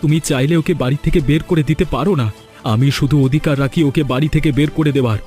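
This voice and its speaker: A man's voice: a little high, a little fast, dark tone, faint music behind, close to an everyday voice. A man voicing an earnest, devoted young husband and son in a fairy-tale cartoon. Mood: protesting.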